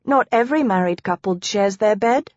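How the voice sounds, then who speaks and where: A woman's voice: medium pitch, lively intonation, moderate pace, darkish tone, no room sound, lightly acted. A woman voicing a spirited, emotional young wife in a historical romance audiobook, with old-fashioned phrasing. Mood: surprised. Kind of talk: audiobook character dialogue. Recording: dry, slightly dull close recording.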